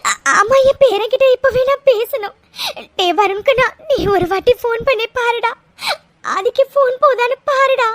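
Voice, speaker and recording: Woman acting a high-voiced, wailing older mother. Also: normal speed, fairly bright tone, slight background noise. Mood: anguished. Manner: wailing, imploring. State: crying. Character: anguished elderly mother and grandmother praying for missing boy. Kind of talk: melodramatic audio serial acting.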